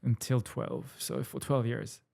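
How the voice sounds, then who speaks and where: Gravelly man with a French accent. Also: mid-range voice, measured pace. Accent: French, with some Australian. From New Caledonia. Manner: relaxed, rambling, laughs easily. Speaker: guest, musician. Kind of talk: casual interview chat.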